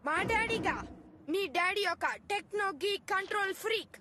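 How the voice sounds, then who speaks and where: A woman's voice: very high-pitched, moderate pace, background noise. A woman voicing an assertive young kid in a dubbed cartoon, a bossy playmate with a teasing manner. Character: young kid, bossy rival and wary best friend. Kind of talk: animated cartoon dub.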